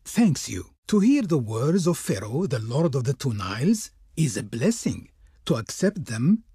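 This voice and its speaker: An actor voicing a servile, ceremonious and pompous Egyptian diplomat in a theatrical video game style. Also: male voice, on the high side, a little mellow, slightly rough, no room sound, clean studio sound, full character voice. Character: servile, smooth-tongued Egyptian diplomat of Pharaoh, pompously reverent.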